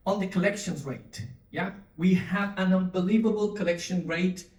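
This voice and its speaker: A man's voice: high voice, normal speed, a little mellow. Man giving a keynote in an echoey hall. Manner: engaging, asks audience to respond, many fillers and restarts. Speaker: conference speaker, company CTO. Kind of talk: conference keynote talk. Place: large conference hall. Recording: echoey hall, distant mic.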